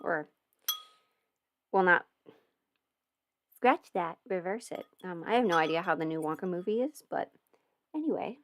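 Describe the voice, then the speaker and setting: A woman's voice: on the low side, expressive, a little slow, long pauses, a bit bright, no echo. A woman casually rambling as a livestream host, hesitant, interrupting herself and thinking aloud as she goes. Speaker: livestream host, audio roleplay creator.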